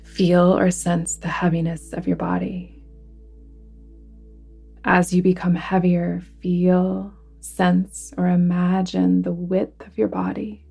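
A woman guiding a relaxation meditation in a soothing, deliberate manner, with phrases that sometimes fall to a hush. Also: on the low side, little pitch movement, creaky, breathy edge, faint music behind. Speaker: yoga nidra guide. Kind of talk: guided relaxation meditation narration.

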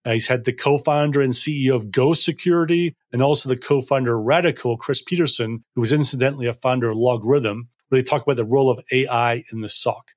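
A man from Scotland, hosting a casual podcast interview with brisk, upbeat questions. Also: mid-range voice. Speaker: podcast host.

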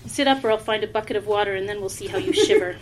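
A woman's voice: medium pitch, rapid-fire, a little hiss or hum, natural voice, low-quality recording. A woman reading the part of a tall, imposing, commanding hooded man in a storybook.

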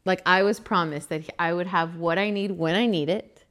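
Young woman from venezuela, podcast co-host, earnest, lower-pitched voice, casual chat. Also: fairly even intonation, normal speed, fairly bright tone.

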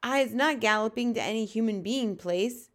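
Friendly storybook giant man, acted by a woman, folksy, earnest, gentle, nervous. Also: a little high, moderate pace, natural voice. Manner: mangled folksy grammar, earnest. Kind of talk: storybook read-aloud character voice.

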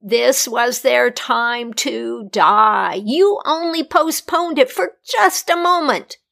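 Godmother Death is an ancient, stern female spirit in a spoken fairy tale, measured and solemn, as a woman narrator puts her on. The voice is on the higher side and gravelly. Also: animated, a bit bright, exaggerated voice.

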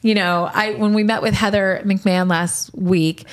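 A woman in her late forties, a podcast host, answering rapid-fire questions in a candid, reflective way and laughing easily. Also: mid-range voice, fairly even intonation. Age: 40s.

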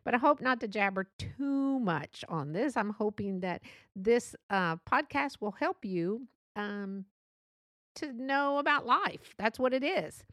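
A woman's voice: a little high, lively intonation, slow pace, a bit bright, no room sound, studio quality. A middle-aged woman from Texas with a Texan accent, hosting a podcast in a chatty, rambling, self-deprecating solo monologue. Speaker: podcast host.

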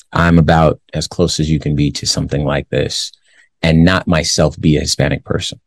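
A man in his forties from the Los Angeles area, hosting a commentary show. He reads a news story aloud, then gives his views in a measured way with many pauses. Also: a bass voice, no room sound. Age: 40s. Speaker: show host.

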